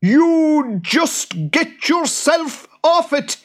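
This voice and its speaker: A hairy storybook boggart, very high and shrill, slow stretched-out shrieks, bad-tempered. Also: male voice, big pitch swings, full character voice. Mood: shrieking rage. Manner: shrieking, drawn-out yelling.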